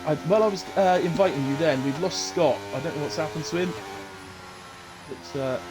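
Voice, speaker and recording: A man's voice: fairly high pitch, moderate pace, over music. A man with a chest infection giving informal live sim racing commentary and casual chat as the host, in short running phrases.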